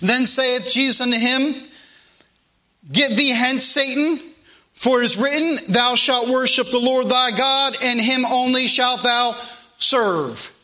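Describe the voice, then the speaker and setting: Male preacher, American accent, extremely high-pitched, sharp and intense delivery, fervent sermon style with emphatic repetition. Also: slow. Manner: emphatic, exhorting, repeats phrases for emphasis. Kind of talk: fervent sermon with scripture readings.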